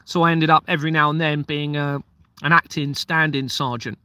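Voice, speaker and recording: A man's voice: on the high side, steady tone, a little fast, pushed and bright, clear, resonant tone, no room sound. A man, hosting a casual livestream, reading out viewer comments and rambling earnestly while sometimes losing his train of thought. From Kent, southeast England. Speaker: livestream host, former police officer.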